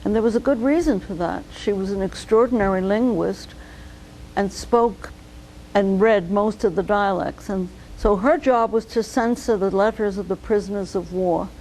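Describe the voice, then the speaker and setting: A woman's voice: medium pitch, a little mellow, slight background noise, rough recording. Older woman recalling her childhood. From United States. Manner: measured, reflective storytelling. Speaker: interviewee, physician. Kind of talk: oral history interview.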